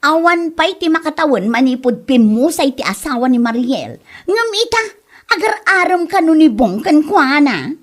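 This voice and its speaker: A woman's voice: sits high, big pitch swings, normal speed. A woman playing a spiteful, judgmental village gossip in an Ilocano comedy drama, exclaiming indignantly with an Ilocano accent.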